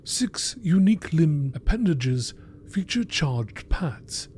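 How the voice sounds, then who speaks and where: Mellow, higher-voiced male documentary narrator. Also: very animated, slow pace, slight background noise. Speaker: narrator reading game text aloud. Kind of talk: nature documentary narration, scripted.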